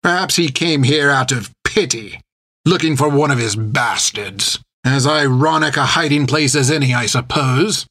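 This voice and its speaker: A man's voice: mid-range voice, moderate pace, bright, forceful tone, no room sound, studio quality. A man voicing a sneering pirate captain. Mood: scornful. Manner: sneering, mocking. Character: menacing male pirate captain, cold and scornful.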